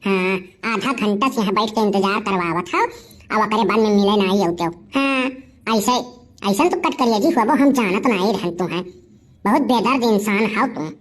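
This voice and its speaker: A woman's voice: mid-range voice, expressive, moderate pace, natural voice. A woman voicing a coquettish, complaining village sweetheart with an Awadhi accent in a comedy cartoon. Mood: coy complaining. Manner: coy, sentimental, grumbling. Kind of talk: comic cartoon dialogue.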